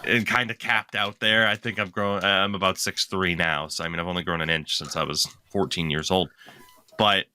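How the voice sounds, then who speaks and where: A man's voice: low voice, fairly even intonation, moderate pace, sharp tone, smooth. A young man co-hosting a podcast, chatting casually, rambling and correcting himself as he goes, swearing now and then. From lives in Maine. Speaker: podcast co-host. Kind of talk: casual chat.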